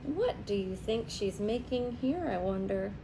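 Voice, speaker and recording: A woman reading a picture book aloud to young children as a teacher, expressive and pausing to ask them questions. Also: mid-range voice, vocal fry, noisy background.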